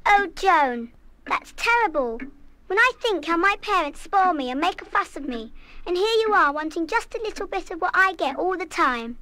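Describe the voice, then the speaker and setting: A woman's voice: extremely high pitch, animated, normal speed, pushed and bright, full character voice. A woman playing a headstrong, outspoken British schoolgirl in a radio play. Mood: earnest, kind. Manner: emphatic, proper, outspoken. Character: headstrong, spoiled, defiant schoolgirl who can be kind. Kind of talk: full-cast radio play acting.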